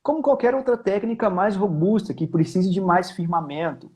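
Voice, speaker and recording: A man's voice: sits high, lively intonation, normal speed, crowd noise. A Brazilian man explaining technique as a guest singing teacher, informal and emphatic, repeating his key points.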